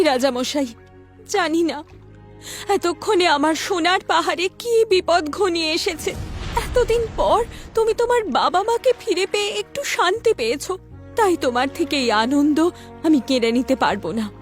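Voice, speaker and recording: Woman as a very high, plaintive queen. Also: expressive, moderate pace. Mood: sorrowful. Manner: plaintive, theatrical. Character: sorrowful, dutiful fairy-tale queen. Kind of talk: cartoon fairy-tale voice acting. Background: music.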